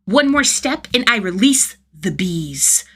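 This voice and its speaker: Woman voicing a small defiant boy hero, shouting. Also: mid-range voice, very animated, takes it slowly, breathy edge, full character voice. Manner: shouting, dramatic. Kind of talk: acted podfic storytelling.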